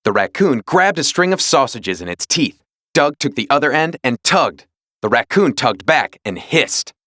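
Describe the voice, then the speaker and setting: Animated male storybook narrator. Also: mid-range voice, moderate pace, no echo, studio quality. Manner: expressive, dramatic storytelling. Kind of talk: scripted children's read-along narration.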